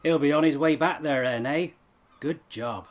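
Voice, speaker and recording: Guy doing older local, blunt and suspicious, fairly high voice, short rustic phrases, radio play. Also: moderate pace, natural voice. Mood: suspicious, curt. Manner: rustic dialect, curt. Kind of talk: audio drama acting.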